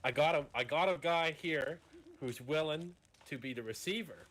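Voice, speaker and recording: A man roleplaying an amoral mad doctor in a Western game, explaining his schemes calmly and haltingly. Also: a little high, natural voice. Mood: scheming. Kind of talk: improvised online Western roleplay.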